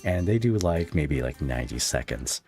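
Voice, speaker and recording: A man's voice: a bass voice, expressive, normal speed, a little mellow. A man hosting a casual podcast interview, feeling out his questions and points in a tentative, conversational way.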